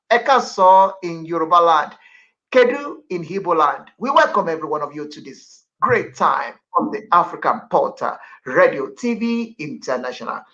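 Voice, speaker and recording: A male radio host with a high, bright voice who greets listeners with expansive ceremony. He talks live from a studio. The sound is muffled and lo-fi. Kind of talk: live radio show hosting.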